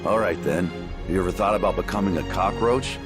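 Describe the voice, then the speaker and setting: An actor playing a gruff, cynical man with a blunt, dismissive manner in a video game story. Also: deep voice, a little fast, natural voice. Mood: dry, cynical. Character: gruff, cynical, blunt adult male fighter and scientist. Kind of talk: video game cutscene acting. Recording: loud music behind the voice.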